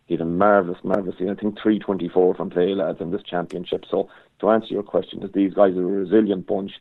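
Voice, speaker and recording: Hoarse Irish man on a phone line. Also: sits low, flat delivery, quick pace. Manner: rambling, stop-start, frequent restarts. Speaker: phone-in guest pundit. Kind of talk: casual analysis over the phone.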